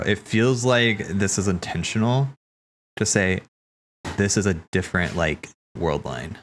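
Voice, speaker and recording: A man streaming casual live commentary, thinking aloud in a halting way and repeating phrases as he reasons. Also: on the low side, slight background noise. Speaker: streamer hosting a cutscene watch party. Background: game music behind the voice.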